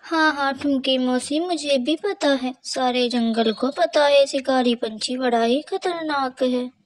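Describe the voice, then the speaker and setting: A woman voicing a kind young female sparrow who talks in a lively, concerned way. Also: high-pitched, unhurried. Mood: agreeable.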